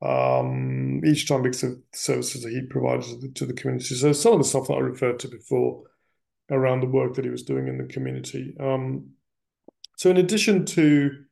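Middle aged guy, super creaky gravelly voice, chatting about family history, kinda halting and rambly. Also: mid-range voice, warm tone. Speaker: interviewee sharing family history. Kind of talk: reflective personal storytelling in casual conversation.

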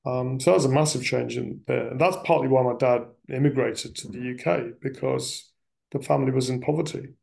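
A middle-aged man telling family history in a reflective, conversational interview style, often pausing and circling back mid-phrase. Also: medium pitch, fairly even intonation, vocal fry, darkish tone. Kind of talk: reflective personal storytelling in casual conversation.

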